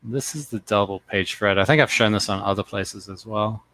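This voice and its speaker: A man's voice: on the low side, brisk, pushed and bright, poor audio. A man chatting casually and crudely about his comic pages, laid-back and joking. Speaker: comic artist co-host. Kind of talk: casual chat.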